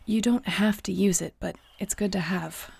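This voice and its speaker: A woman playing an earnest, tender young shapeshifter clan leader in an intimate audio roleplay, speaking softly and confidingly. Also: mid-range voice, normal speed, creaky, slightly rough. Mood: sincere, restrained. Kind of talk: intimate audio roleplay acting addressed to the listener.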